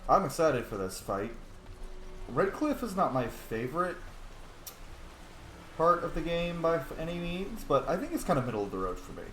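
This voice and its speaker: A man's voice: fairly high pitch, big pitch swings, moderate pace, pauses often, fairly bright tone, a little hiss or hum. A man casually narrating his video game playthrough, rambling and thinking aloud as host. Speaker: host, gamer. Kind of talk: casual gaming commentary. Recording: roomy sound, faint game music.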